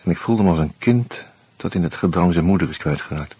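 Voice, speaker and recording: A man's voice: sits low, animated, brisk, over loud music. An actor playing a wry, weary man who narrates his own murder mystery in a radio play, subdued and a little hesitant. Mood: subdued, reflective. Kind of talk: first-person radio drama narration and dialogue.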